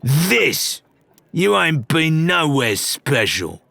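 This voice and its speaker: Suspicious pirate thug, raised pitch, sneering, rough-spoken, accusatory, audiobook character. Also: male voice, very animated, extremely slow, sharp tone, dry recording, exaggerated voice. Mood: jeering.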